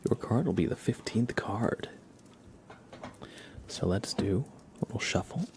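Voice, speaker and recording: A man with a low voice hosts a casual ASMR livestream, speaking softly and often slipping into a whisper. He rambles playfully to his viewers. Some ambient noise sits behind him. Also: animated, unhurried. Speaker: livestream host.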